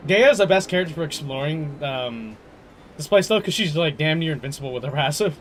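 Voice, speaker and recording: A man's voice: a little high, lively intonation, a little fast, a little hiss or hum. A young man giving casual, slangy gameplay commentary, often talking to himself as he plays. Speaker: video game streamer. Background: game music.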